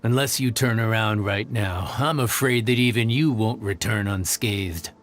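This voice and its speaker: A man's voice: lowish voice, normal speed, slight background noise, lightly acted. An actor playing a cocky, threatening male mercenary in a video game, taunting and smug in manner. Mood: smug, menacing. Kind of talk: scripted video game dialogue.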